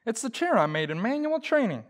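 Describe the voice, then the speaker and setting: Woman playing blustery, animated radio-comedy husband. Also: on the high side, a little fast. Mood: sheepish, folksy. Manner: folksy, exclamatory, blustering. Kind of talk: old-time radio comedy acting.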